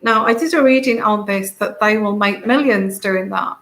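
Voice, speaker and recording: A woman with a soft, soothing voice, hosting a casual tarot livestream, rambling with many fillers and greeting viewers by name. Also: medium pitch, moderate pace, echoey room. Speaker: tarot reader and channel host.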